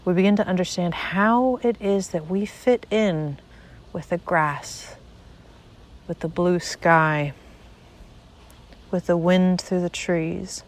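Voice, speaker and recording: A woman with a slightly low voice leads a guided meditation. She speaks very slowly and deliberately, setting short phrases apart with pauses. The delivery is a measured spiritual monologue.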